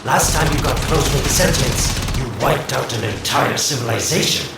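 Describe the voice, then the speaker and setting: A man's voice: mid-range voice, moderate pace, vocal fry, heavy background noise, natural voice. A man playing a sly, mocking, self-interested schemer in a video game, with a teasing, theatrical drawl. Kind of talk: video game character acting. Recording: echoey, distant, under loud game music.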